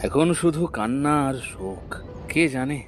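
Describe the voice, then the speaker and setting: An actor playing a grave, sympathetic villager in a horror cartoon. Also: male voice, mid-range voice, expressive, normal speed, soft background music, lightly acted. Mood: somber. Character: somber, sympathetic villager at a tea stall. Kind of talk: Bangla horror cartoon dialogue.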